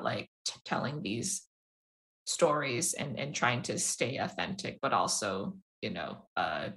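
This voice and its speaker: Give me a young woman with a bright, lowish voice. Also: poor audio. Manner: halting, searching for words with many restarts. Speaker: animation filmmaker. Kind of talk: casual interview answers.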